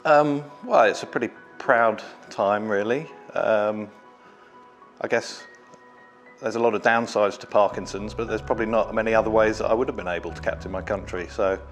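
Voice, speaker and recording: A modest man in his fifties with a slightly low voice. He answers interview questions hesitantly, in a relaxed way, with music playing softly underneath. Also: normal speed. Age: 50s. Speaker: team captain.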